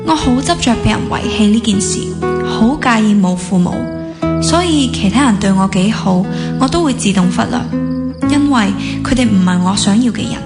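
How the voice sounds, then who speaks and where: An actress playing an introspective young woman wounded by abandonment, narrating in Hong Kong Cantonese in a radio drama. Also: on the high side. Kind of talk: radio drama monologue, reflective scripted narration. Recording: music under the voice. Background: guitar music.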